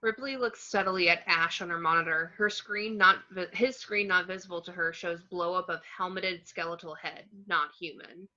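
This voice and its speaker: A woman reading screenplay action descriptions as narration, in a plain, measured way. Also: fairly low pitch, sharp tone. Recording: slightly dull home microphone.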